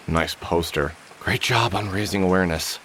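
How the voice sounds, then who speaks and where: A man's voice: sits low, moderate pace, fairly bright tone, slight background noise. An actor playing a wry, world-weary middle-aged man in a video game, muttering sardonically as he thinks aloud. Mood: sardonic. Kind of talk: scripted game character monologue, thinking aloud. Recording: music under the voice. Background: music.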